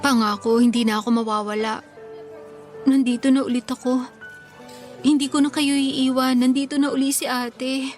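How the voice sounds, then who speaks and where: A woman playing a calm forgiving daughter. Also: high-pitched, normal speed, long pauses, lightly acted. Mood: gentle. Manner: calm, gentle, reassuring. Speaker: eldest daughter. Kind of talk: Tagalog radio drama acting. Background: soft background music.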